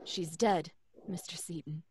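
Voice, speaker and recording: A woman's voice: fairly low pitch, fairly even intonation, measured pace, darkish tone, slight background noise, natural voice. An actress playing a stern woman doctor, urgent and scolding, in old-time radio style. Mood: grave, concerned. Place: dim home recording space. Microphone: large studio condenser, close.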